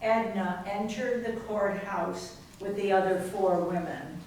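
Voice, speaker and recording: A woman's voice: mid-range voice, steady tone, slow pace, a little mellow, lightly acted. A woman narrator reading scripted third-person narration for a readers theater. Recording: very echoey room, distant mic, faint noise.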